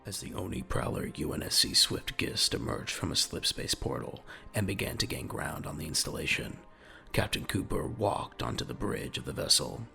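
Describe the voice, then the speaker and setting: A man narrating a scripted audio drama in a measured, dramatic, gravelly voice. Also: rumbling low, sharp tone.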